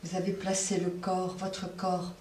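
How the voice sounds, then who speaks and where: A woman leading a guided relaxation as a yoga nidra teacher, speaking softly and unhurriedly with long pauses between phrases. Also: fairly low pitch, completely flat delivery. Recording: roomy echo, distant mic, faint noise.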